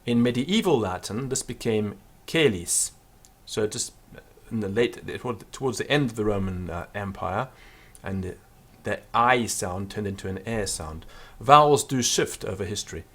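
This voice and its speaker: A man with a fairly low voice teaching pronunciation in an offhand, unscripted way, full of small fillers and restarts. He stops every so often to sound out words slowly and deliberately, as a demonstration for his students. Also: lively intonation, a bit bright. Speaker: Latin teacher. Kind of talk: spoken pronunciation lesson.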